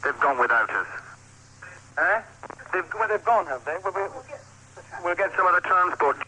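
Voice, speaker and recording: A man reporting live as a news correspondent over the telephone, halting, flustered and repeating himself. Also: sits high, lively intonation, sharp tone, husky, poor audio. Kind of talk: live unscripted phone report.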